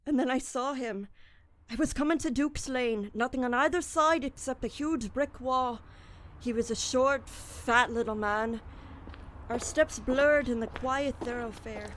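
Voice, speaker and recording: A woman playing a desperate, guilt-ridden poor young man in a melodramatic audio horror drama, anguished and halting. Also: sits high, fairly bright tone, a little hiss or hum. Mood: weary, despairing.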